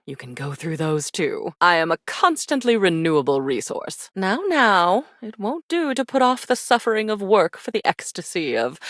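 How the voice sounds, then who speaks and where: A woman's voice: fairly low pitch, no echo, clean studio sound. A woman playing an arch, darkly elegant embodiment of memories in a video game, drawling and teasing with endearments. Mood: coy, sultry. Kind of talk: video game character acting.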